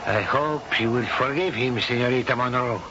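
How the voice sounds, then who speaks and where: A man's voice: mid-range voice, normal speed, close to an everyday voice. An actor playing a proud, middle-aged Spanish father in a 1970s radio drama, formal and courtly, with a Spanish accent. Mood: courteous, guarded. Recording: muffled old radio broadcast, background noise.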